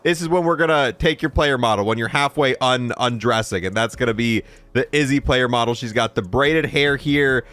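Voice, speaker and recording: A man's voice: mid-range voice, normal speed, few pauses, a bit bright, no echo. A man reviewing a video game in an informal, rambling way, thinking aloud as he comments.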